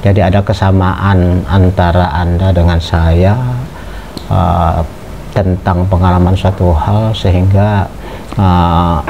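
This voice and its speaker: Deep-voiced man lecturing. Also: slow pace. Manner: conversational, many hesitant fillers, asks students questions. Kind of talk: casual lecture to students. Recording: muffled with steady background noise.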